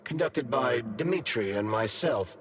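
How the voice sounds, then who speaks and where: A staticky radio sound with long reverb carries a polite AI assistant. He is formal, precise and erudite, husky, with a surprisingly animated pitch. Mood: guarded, formal. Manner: formal, precise, measured wording. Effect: radio-like, staticky processing. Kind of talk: video game cutscene acting.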